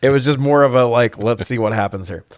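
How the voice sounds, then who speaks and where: A man's voice: medium pitch, quick pace, clear, resonant tone, dry recording. A man co-hosting a casual film review, informal and wry, rambling into asides and swearing freely. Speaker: podcast co-host reviewing films.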